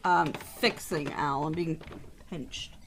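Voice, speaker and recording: A woman's voice: low-pitched, fairly even intonation, slow pace, slight background noise. A woman livestreamer talking casually and playfully, often swearing, as she hosts a game stream. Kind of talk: casual livestream chat with some game text read aloud.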